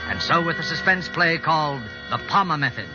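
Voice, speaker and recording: A male announcer reads a scripted old-time radio introduction. His voice is slightly high, formal and measured. Music plays clearly behind him on a muffled vintage recording. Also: animated. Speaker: program announcer.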